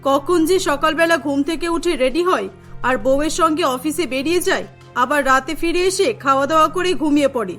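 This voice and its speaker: A woman's voice: high-pitched, normal speed, fairly bright tone, faint music behind. A woman voicing a complaining middle-aged mother-in-law in a cartoon.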